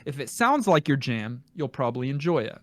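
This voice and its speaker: A man's voice: medium pitch, very animated, brisk, fairly bright tone, dry recording. A man hosting a podcast, talking casually and reading out listener comments. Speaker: podcast host.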